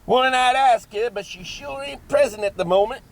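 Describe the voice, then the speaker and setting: A man's voice: very high voice, normal speed, creaky, a loud crowd. A man acting a cocky, folksy backwoods monster hunter in a comedy horror audio drama, rambling in a drawl with mangled grammar. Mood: wisecracking.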